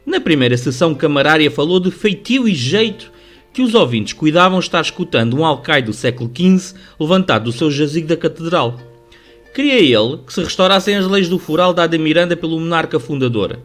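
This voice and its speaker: A man with a European Portuguese accent giving formal, measured, scripted literary narration for a radio drama. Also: fairly high pitch, a bit bright. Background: music under the voice.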